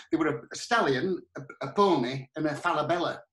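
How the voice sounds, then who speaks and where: A man with a Northern English accent, telling comic anecdotes in an animated, chatty, earthy and colloquial way as a podcast guest. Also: on the high side, normal speed, slight background noise. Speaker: podcast guest, pantomime performer. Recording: roomy, echoey sound.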